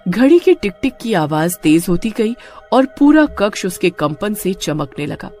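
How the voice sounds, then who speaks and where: A woman narrates a scripted tale with dramatic delivery. Her mid-range voice moves in lively swings of pitch. Soft music plays behind her. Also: a little slow. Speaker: story narrator. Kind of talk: scripted Hindi story narration.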